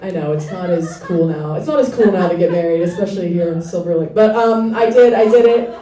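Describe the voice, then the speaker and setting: A young woman with a deep voice doing a self-deprecating stand-up set, with hesitant fillers and dry delivery. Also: fairly quick, dark tone. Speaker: stand-up comedian. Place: small comedy club stage with laughing audience. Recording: echoey club, audience laughter, from a distance.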